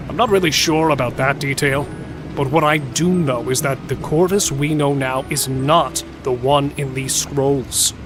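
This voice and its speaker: A man playing an irreverent smith and lore keeper explaining dark history in a fantasy audio drama. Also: a little high, close to an everyday voice. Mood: grave, explaining.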